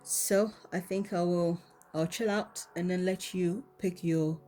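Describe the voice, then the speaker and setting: A woman with a cold giving a casual tarot card reading, talking in a relaxed way with many ums and repeated "okay?". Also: on the low side, a little slow, faint music behind. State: has a cold. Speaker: tarot reader.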